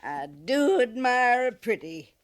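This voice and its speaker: An unflappable, grasping old widow of the American West in a vintage radio drama. Her voice is pitched high, with an ungrammatical rural drawl. She sounds contrary and sly, a hard trader who will not budge. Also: big pitch swings, slow pace, no room sound. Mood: stubborn, menacing. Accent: American rural Western dialect. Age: older. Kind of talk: 1950s radio western acting. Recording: vintage 1950s radio recording.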